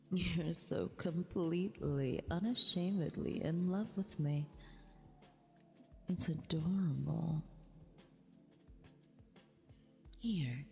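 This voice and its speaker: Woman acting a slow, low-voiced, teasing imperious empress. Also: warm tone, long reverb tail, exaggerated voice. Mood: teasing, intimate. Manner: haughty, slow, breathy half-whispered teasing. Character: vain, cruel, teasing eldritch empress, imperious yet affectionate. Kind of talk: intimate ASMR roleplay, scripted.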